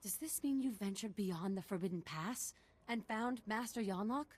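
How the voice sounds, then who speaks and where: A woman's voice: medium pitch, normal speed, a little mellow, breathy edge, close to an everyday voice. An actress voicing a respectful, admiring female desert explorer in a video game, speaking earnestly and formally. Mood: earnest wonder. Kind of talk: scripted video game acting.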